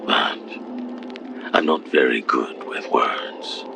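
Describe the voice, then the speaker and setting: An actor playing a humble, lovestruck titan in a fantasy audio drama, speaking with hesitant, breathy earnestness. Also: male voice, slow pace, over music, heavily acted. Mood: shy, hesitant. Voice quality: very deep, breathy, gravelly. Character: gentle, lovestruck male titan, humble and shy.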